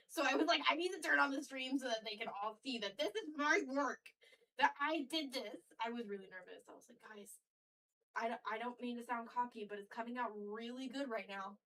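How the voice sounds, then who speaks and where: A woman's voice: a little high, bright, forceful tone. A woman chatting casually on a live art stream, rambling and answering chat messages as she draws. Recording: distant mic with faint background noise.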